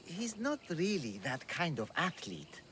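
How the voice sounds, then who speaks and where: A man's voice: a little high, huge pitch swings, a little slow, a bit bright, a little hiss or hum, exaggerated voice. An actor playing a nervous male bookmaker in a video game, hesitant and pleading. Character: anxious male bookmaker, fretting over bets. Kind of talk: video game cutscene acting.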